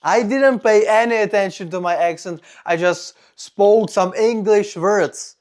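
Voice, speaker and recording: Slow-talking young man, strong Czech accent. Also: high-pitched, a little mellow. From Czech Republic. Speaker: vlogger. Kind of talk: personal monologue to camera.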